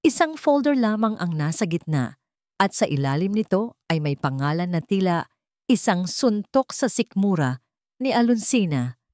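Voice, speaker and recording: A woman's voice: on the low side, darkish tone, dry recording, clean studio sound. A woman narrating a scripted story in a dramatic storytelling style.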